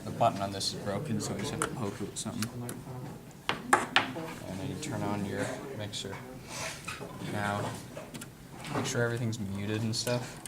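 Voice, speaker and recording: A man giving a casual hands-on tutorial in an informal, halting way, thinking aloud as he works as an instructor. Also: fairly low pitch, steady tone. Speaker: instructor demonstrating audio equipment. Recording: clearly noisy room recording with handling sounds.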